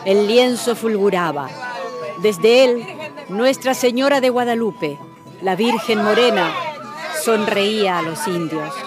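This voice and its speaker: A woman's voice: medium pitch, takes it slowly, music in the background, crowd noise. A woman reading scripted narration for a radio drama.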